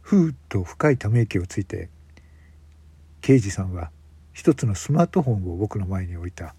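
Mellow, husky male drama narrator. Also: low voice, sing-song, moderate pace, no echo. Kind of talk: first-person radio drama narration.

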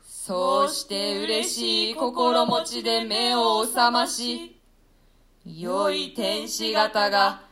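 A woman's voice: fairly high pitch, animated, drawn-out words, over music. A woman voicing the ghosts of two murdered young princes in a radio play reading, in a raised, chanting voice. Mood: tender.

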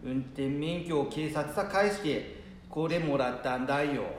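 A man's voice: fairly high pitch, a little slow, lightly acted. A man playing a proud, playful old grandfather in an amateur radio drama, speaking Nanbu dialect of northern Tohoku. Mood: proud, fond. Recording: echoey room, faint background noise.

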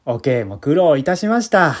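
A man's voice: on the high side, very animated, normal speed. A man voicing a cheerful, polite policeman, a proud family man talking in a chatty, deferential, folksy way in a story reading. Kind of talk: literary reading with acted dialogue. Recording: music bed behind the voice.

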